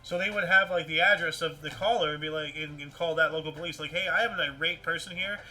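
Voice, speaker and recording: A man hosting a livestream news show, commenting casually in an animated, rambling way and often repeating himself. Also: a little high, fast talker. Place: indoors at a computer with webcam.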